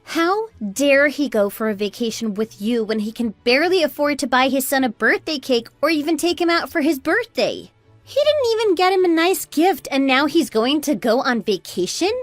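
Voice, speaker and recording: Casting: fed-up young wife and mother, played by a woman, fairly high voice, argumentative, radio drama, dry sound, faint music beneath. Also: sing-song, normal speed, no room sound. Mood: outraged, bitter. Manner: argumentative, sarcastic, pointed. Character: indignant, fed-up young daughter-in-law and mother. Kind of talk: scripted radio drama dialogue.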